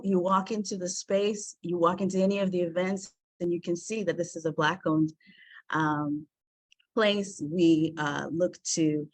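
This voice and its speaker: A woman's voice: on the low side, no echo. Earnest woman presenting, full of ums. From South Africa. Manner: warm, earnest, many filler ums. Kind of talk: presentation to an online group.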